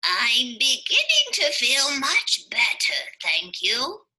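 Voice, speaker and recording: A woman voicing a kind, gentle old man, a zookeeper, in an expressive, drawn-out storybook delivery. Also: high-pitched, pitched above the performer's own voice, full character voice, rough recording. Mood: relieved.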